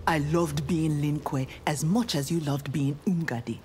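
An actress playing a proud, earnest young armored ninja woman in a video game, speaking in formal, measured, resolute lines. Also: deep voice, fairly even intonation, dark tone, soft background music, lightly acted. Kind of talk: dramatic video game dialogue.

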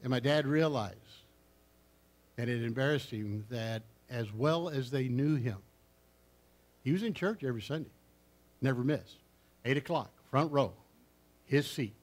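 An older man, a pastor, preaching a story-filled sermon in a church sanctuary, rising loud on key phrases and dropping low, recorded from a camera at the back. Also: measured pace, pauses often. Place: church sanctuary with seated congregation. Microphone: far camera at the back of the church.

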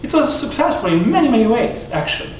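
A man in his forties giving a classroom lecture, animated and gesturing, often restarting his sentences. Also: very high-pitched, fast talker, slight background noise. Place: classroom in front of whiteboard. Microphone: far camera. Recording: echoey classroom from far off, dull lo-fi.

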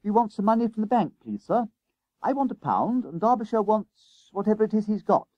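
An eager young schoolboy, acted by a man in a storybook reading. The voice jumps high and swings about excitably. He is bold and bossy, insistent in his old-fashioned schoolboy slang. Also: brisk, no echo, muffled recording.

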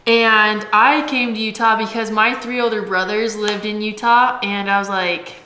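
Chatty bright-voiced young woman vlogger. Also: mid-range voice, fairly even intonation, normal speed. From Washington state, USA. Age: late 20s. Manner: chatty storyteller, giggly, lots of "like" and "um". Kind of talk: casual chat. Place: bright home kitchen, indoors. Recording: echoey room.

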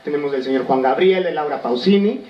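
A man's voice: fairly high pitch, animated, brisk, a little hiss or hum. A man from Michoacán, a band member promoting his group, talking eagerly into a handheld mic. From Michoacán, Mexico. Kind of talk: promotional interview talk. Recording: roomy, distant, dull sound.

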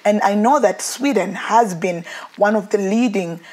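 Woman, 30s, husky mid voice, eager effusive TV host, lapel mic. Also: mid-range voice, a little slow, darkish tone. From Zimbabwe. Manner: enthusiastic, effusive. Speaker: talk show host. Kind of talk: TV talk show hosting. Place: indoor TV studio set, seated in armchair.

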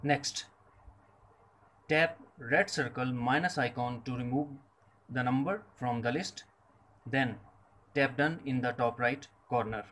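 A man narrates a scripted tech tutorial at a slow pace, with a mid-pitched voice. He gives instructions step by step, breaking them into short, separate phrases. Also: fairly bright tone, low-quality recording. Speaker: tutorial presenter.

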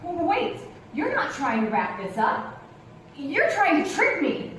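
Casting: woman playing veteran female cop, middle-aged, high swooping voice, defensive and patronizing, heard across an echoey theatre. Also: wildly swooping pitch, slow, a bit bright, heavily acted. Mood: defensive, indignant. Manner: patronizing, calls people darling and hun. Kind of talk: live stage play acting. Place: theatre stage with rows of seats. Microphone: distant camera. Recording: echoey theatre stage from far off, noisy.

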